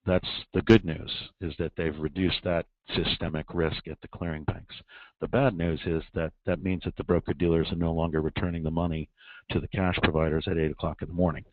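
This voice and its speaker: Low-voiced guy on a conference call, tinny phone sound, explains stuff slowly with lots of ums. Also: little pitch movement, no echo. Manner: measured, explanatory, frequent ums and uhs. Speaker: repo market expert guest. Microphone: telephone.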